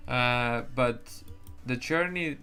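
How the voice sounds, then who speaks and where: A man's voice: medium pitch, very slow, pushed and bright, clear, resonant tone, faint music behind. Man with an Estonian accent on a livestream. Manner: rambling, swears, frequent restarts. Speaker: livestream trader. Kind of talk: casual livestream chat.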